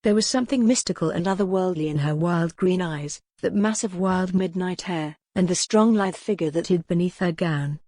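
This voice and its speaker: Woman reading a historical romance audiobook, lowish voice, very dry recording. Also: normal speed, few pauses, mellow, no room sound. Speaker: audiobook narrator. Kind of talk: scripted narration of a historical romance novel.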